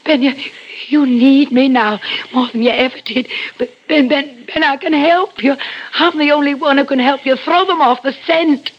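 An actress playing a frightened, timid working-class wife in an old-time radio suspense drama, speaking in an anxious, pleading way. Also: female voice, sits high, normal speed, close to an everyday voice. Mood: desperate pleading. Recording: dull, hissy vintage radio broadcast.

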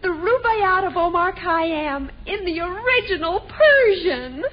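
Self-assured American teenage daughter, shrill-high pitch, 1950s family sitcom, muffled broadcast sound. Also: female voice, lively intonation, measured pace. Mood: eager, proud. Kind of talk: 1950s radio sitcom acting. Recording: muffled, faintly noisy old radio broadcast.

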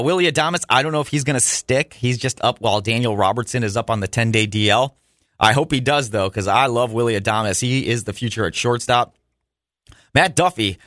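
A man's voice: mid-range voice, a bit bright, no room sound. An American man talks sports as a radio host, in a dry studio sound with a mid-range voice. His delivery is casual and chatty, and he gives his opinions freely, like a fan behind the microphone.

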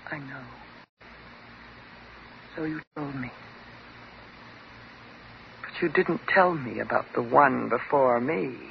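An actress playing a bitter, vengeful jilted patient with a mocking, sarcastic and dramatic manner in a 1950s radio drama. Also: female voice, extremely low pitch, slow pace, creaky, long pauses, slight background noise, heavily acted. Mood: sarcastic, accusing. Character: bitter, vengeful jilted woman patient holding doctor at gunpoint. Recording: dull old 1950s radio recording.

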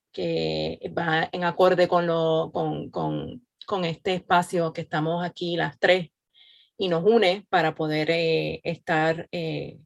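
Gravelly-voiced Puerto Rican woman. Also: lowish voice, no echo, studio quality. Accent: Puerto Rican Spanish. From Puerto Rico. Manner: calm, gentle, with frequent fillers. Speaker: meditation and Reiki instructor, podcast co-host. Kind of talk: personal introduction on a podcast.

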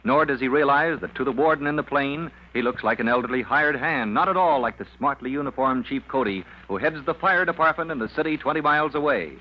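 Male storyteller for a vintage radio adventure, mid-pitched, dramatic, dull recording with slight noise. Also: brisk, hardly any pauses. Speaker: narrator. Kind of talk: 1940s radio serial narration, scripted. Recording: muffled old radio recording, faint noise.

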